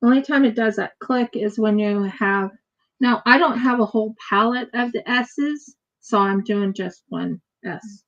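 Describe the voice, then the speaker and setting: American lady rambling on a craft livestream, real slow talker, bit muffled mic. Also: a little high. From United States. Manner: rambling, repeats words. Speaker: craft streamer. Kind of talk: casual chat while crafting on a livestream.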